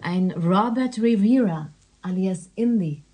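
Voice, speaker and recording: An actress playing a businesslike police colleague in a radio drama, reporting matter-of-factly. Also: female voice, medium pitch, animated, takes it slowly, mellow. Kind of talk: dialogue-driven radio drama acting.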